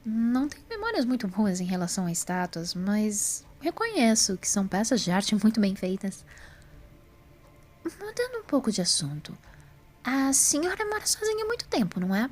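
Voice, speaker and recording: A woman playing a cheerful, energetic female time-traveling doctor in an audio drama, speaking with eager, polite curiosity. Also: fairly high pitch, normal speed, voice pushed up. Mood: politely admiring.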